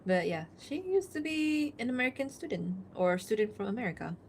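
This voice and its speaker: A woman's voice: a little high, sing-song, fairly quick. A chatty woman from Malaysia talks to her live-stream viewers in a loose, easygoing way. She laughs often between thoughts and reads out messages from the chat. A faint hiss of noise sits under her voice. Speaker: art streamer.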